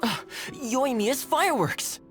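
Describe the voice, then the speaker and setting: An actor playing an easygoing, friendly young man in a video game, casual and expressive. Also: sits high, slow. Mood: upbeat. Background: game music.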